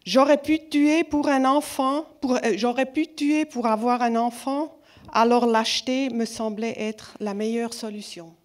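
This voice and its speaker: A woman with a foreign accent, not a native French speaker, giving an award speech as a jury member in a halting manner. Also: fairly high pitch. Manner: halting, searching for words. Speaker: festival jury member announcing a prize.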